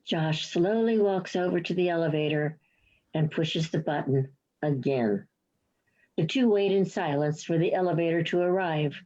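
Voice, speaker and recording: A woman reads stage directions as scripted narration. Her voice is low and soft-edged, and the recording is a touch dull. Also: normal speed.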